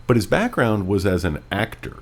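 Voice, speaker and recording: A man with a notably low-pitched voice speaks as a podcast co-host. He is opinionated and wry, chatting casually and dropping in profanity as he reviews what he reads. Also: big pitch swings, moderate pace. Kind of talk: casual book review chat.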